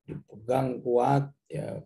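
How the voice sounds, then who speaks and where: A man giving a religious lecture as an Islamic teacher, explaining scripture in a didactic, halting way with frequent confirming tags and quoted Arabic verses. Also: medium pitch, huge pitch swings, creaky, warm tone. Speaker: Islamic teacher, Quran commentator.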